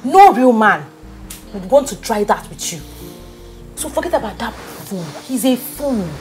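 A woman's voice: a little high, big pitch swings, unhurried, a little mellow, faint music behind. A woman with a Nigerian accent acting a bossy, loyal young friend in a drama, speaking emphatically with Pidgin slang. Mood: firm, consoling. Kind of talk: naturalistic film drama acting.

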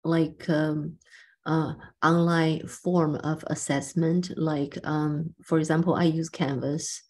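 A middle-aged woman, a teacher, haltingly putting a question on a video call. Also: low-pitched, little pitch movement, darkish tone. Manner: halting, searching for words. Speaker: teacher, webinar participant. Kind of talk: hesitant question in discussion.

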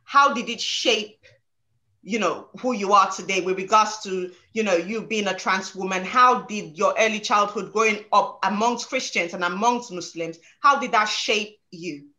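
A woman's voice: mid-range voice, normal speed, pushed and bright. A young adult woman hosting an interview show over a video call, emphatic and forever tagging her lines with "okay?". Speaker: show host. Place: home room, indoors.

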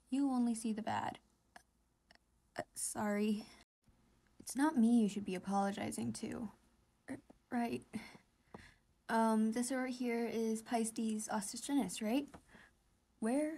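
Sweet, awkward teenage anime boys, voiced by a woman in a fan comic dub. The voice is high and casual, halting at times and teasing at others. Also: darkish tone. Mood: gentle.

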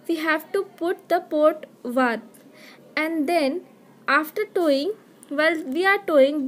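A woman teaching an online class over slides, explaining step by step with halting restarts. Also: sits high, fairly bright tone. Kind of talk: slide-based lecture.

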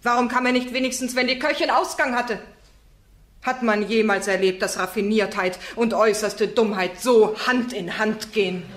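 An actress playing an ironic, insistent defence barrister in a radio play, speaking formally and rhetorically. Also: female voice, a little high, normal speed, slight background noise, natural voice. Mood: impassioned pleading. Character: sharp, eloquent female defence barrister, probing and ironic. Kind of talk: German radio play acting.

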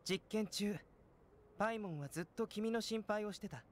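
An actor playing a calm, curious young male alchemist in an anime video game, speaking in a measured, polite, analytical way. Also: high-pitched, a little hiss or hum, lightly acted. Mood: gentle, detached.

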